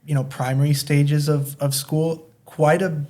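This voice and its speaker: A man's voice: on the high side, level tone, a little slow, darkish tone. A man from Spain whose first language is Spanish, speaking English as a podcast guest, hesitant and full of "um"s. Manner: hesitant, with many "um"s and "you know"s. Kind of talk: casual chat.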